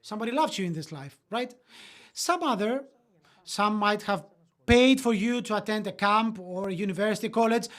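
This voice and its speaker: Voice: medium pitch, moderate pace. Motivational voice-over speaker. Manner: earnest, with hesitant fillers and restarts. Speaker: voice-over interpreter of a motivational webinar. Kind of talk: motivational talk, interpreted into English.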